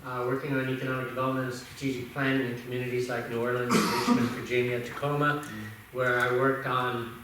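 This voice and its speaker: A man from Indonesia, a visiting development consultant, giving a measured and formal public address to a city council. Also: mid-range voice, little pitch movement, very noisy. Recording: distant voice in echoey council chamber.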